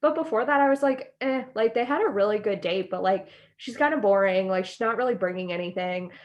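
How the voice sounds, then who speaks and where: An American woman co-hosting a podcast in informal, expressive, chatty conversation. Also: medium pitch, a little fast, lo-fi sound. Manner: informal, expressive, quotes her own reactions. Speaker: podcast co-host. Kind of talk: casual chat recapping a reality TV show.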